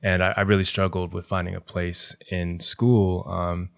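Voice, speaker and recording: A young man, a teacher, speaking as a podcast guest in a soft, reflective way, with many fillers like "you know". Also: sits low, level tone, normal speed, vocal fry, no room sound, clean studio sound. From Los Angeles area, US. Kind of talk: reflective interview talk.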